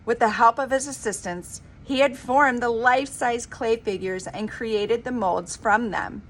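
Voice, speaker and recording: A woman reading a children's story aloud in an expressive, dramatic, emphatic storybook manner. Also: fairly high pitch, measured pace, a little hiss or hum. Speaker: reader of a children's book.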